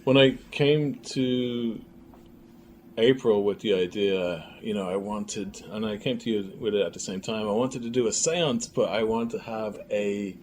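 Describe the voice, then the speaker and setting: A man talking casually in an interview as a writer and director, explaining his work haltingly and with enthusiasm. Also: fairly low pitch, darkish tone. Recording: faintly noisy room ambience.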